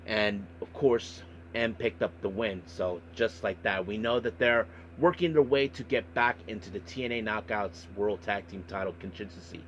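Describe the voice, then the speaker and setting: A man hosting a podcast monologue, recapping and commenting on events in a halting way with repeated filler phrases. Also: fairly low pitch, fairly bright tone, a little hiss or hum. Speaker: wrestling podcast host.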